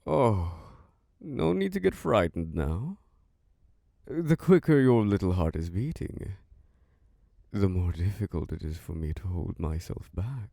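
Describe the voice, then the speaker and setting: A man voicing an ancient, charming and possessive male vampire lord who hosts a masquerade, speaking in a slow, seductive, close-mic ASMR roleplay with soft chuckles. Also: animated, no echo, exaggerated voice. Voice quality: low gravelly voice. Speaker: castle host.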